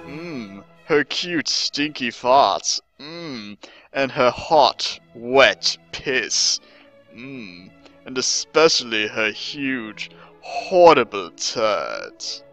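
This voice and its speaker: A man voicing a devoted, eager young servant girl in a slow, drawn-out, fawning manner for a dramatic story reading. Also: medium pitch, a bit bright. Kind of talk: dramatic reading of fan fiction.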